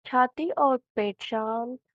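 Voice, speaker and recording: Very slow-speaking Indian woman guiding meditation. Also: a little high, dry recording. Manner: soothing, unhurried, with long pauses between phrases. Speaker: meditation guide. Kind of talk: guided meditation narration. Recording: thin, phone-like narrow band.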